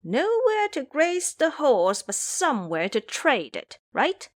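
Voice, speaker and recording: A woman doing a high-pitched, teasing monkey man. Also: wildly swooping pitch, slow, dry recording, studio quality, heavily acted. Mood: mocking. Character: mischievous, teasing male monkey disciple.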